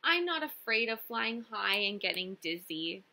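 A woman's voice: mid-range voice, sing-song, unhurried, vocal fry, pushed and bright, heavily acted, rough recording. A young woman voicing a timid, polite young male turtle in a storybook, earnest and pleading in a childlike way. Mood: plain refusal.

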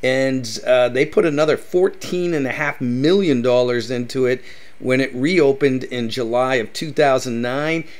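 A man's voice: mid-range voice, measured pace. A middle-aged man hosting a travel vlog, giving upbeat tips and often saying "that's right". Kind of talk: enthusiastic travel guide narration.

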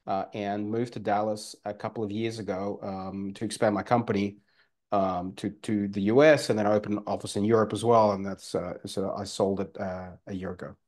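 A man's voice: fairly low pitch, flat delivery, a little fast, a little mellow. A man in his forties with an Australian accent, talking casually as a guest on a video call, with many fillers and restarts. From former Soviet Union, raised in Australia. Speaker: guest entrepreneur. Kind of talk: casual interview talk. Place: home room by a fireplace. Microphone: video call webcam.

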